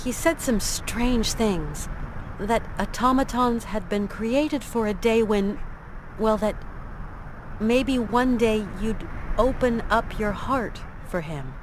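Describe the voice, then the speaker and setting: A woman's voice: fairly high pitch, slow, background noise. A woman playing a plucky, polite adventurer in a video game, with a wry, conversational delivery. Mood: concerned.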